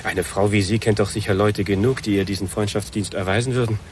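Man acting wary reluctant man, lowish voice, off-mic, clear background noise, radio drama. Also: fairly even intonation, quick pace, a little mellow, lightly acted. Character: reluctant, wary man questioning an improper request. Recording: distant from mic, noisy background.

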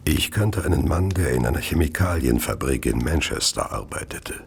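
An actor in a radio play narrating as a cold, calculating Victorian murderer, measured and confessional. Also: male voice, extremely low pitch, lively intonation, creaky, breathy edge, full character voice. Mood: cold, reflective. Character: cold, calculating male Victorian murderer recounting his deeds.

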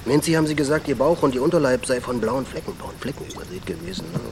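Jittery, stammering small-time crook. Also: male voice, on the high side, quick pace, gravelly, noisy background. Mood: agitated, gossipy. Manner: nervous stammer, repeats words and phrases. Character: jittery, shifty small-time male crook fishing for accomplice. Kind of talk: crime radio drama dialogue. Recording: night outdoor ambience with chirping crickets.

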